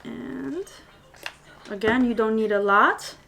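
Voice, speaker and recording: A woman's voice: on the high side, slow pace, gravelly, a bit bright. A woman whose first language is Albanian, chatting as she teaches paper flower making on a live stream, with many fillers and restarts. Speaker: paper flower crafter and tutor. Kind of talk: live-stream craft tutorial, reading comments.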